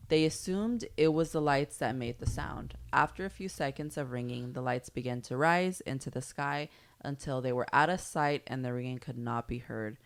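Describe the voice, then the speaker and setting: Woman co-host, Spanish first language, deep voice, casual reader who trips over words and swears. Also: moderate pace. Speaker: podcast co-host. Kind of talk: reading a story aloud, then casual chat.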